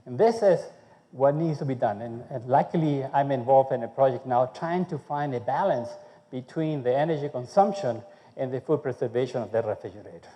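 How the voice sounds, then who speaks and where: A man's voice: medium pitch, fairly quick, mellow, big-room sound, highs cut off. A man from Chile, a food researcher giving a conference talk, speaking conversationally and often checking in with "okay?". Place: conference hall.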